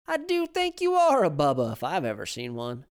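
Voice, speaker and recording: A man voicing a grizzled old man who is gruff but kindly, with a gravelly voice. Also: a little high, wildly swooping pitch, moderate pace, pitched above the performer's own voice.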